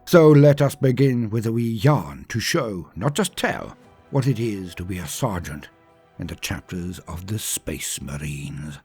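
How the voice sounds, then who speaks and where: A man with a husky voice narrates a scripted story in a theatrical, measured, ornate manner. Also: lowish voice, expressive, darkish tone, soft background music. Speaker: channel host and storyteller.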